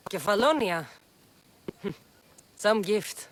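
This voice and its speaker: Tough, animated young mercenary. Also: female voice, medium pitch, very slow, low-quality recording. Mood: wry. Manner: dry, sardonic, plain-spoken. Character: tough, sceptical young female mercenary, wry and guarded. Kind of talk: video game cutscene acting.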